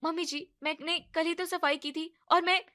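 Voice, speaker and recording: A woman's voice: very high voice, fairly even intonation, pushed and bright, pitched above the performer's own voice. A woman voicing a cheeky, outspoken young woman who switches between sweet politeness and sass. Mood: polite. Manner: cheeky, quick-tongued.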